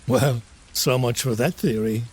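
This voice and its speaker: A man's voice: mid-range voice, unhurried, breathy edge. A man playing an all-knowing, slightly bumbling narrator of a comedic audio drama. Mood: wry. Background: music and rain.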